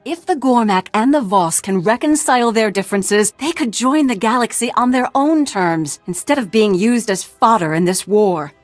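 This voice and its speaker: A woman's voice: on the high side, animated, moderate pace, few pauses, no echo, faint music behind, close to an everyday voice. A woman acting a principled, defiant fighter in a video game, speaking firmly and earnestly. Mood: insistent. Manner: earnest, idealistic, firm.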